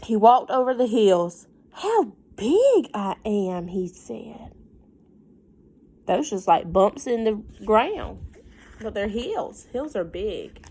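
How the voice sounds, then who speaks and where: A woman reads a storybook to little kids at a slow pace. Her pitch moves in lively swings, and she often steps out of the text to explain things simply or ask the listeners a question. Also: breathy edge. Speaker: teacher reading a picture book aloud to children. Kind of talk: children's read-aloud with casual asides and questions.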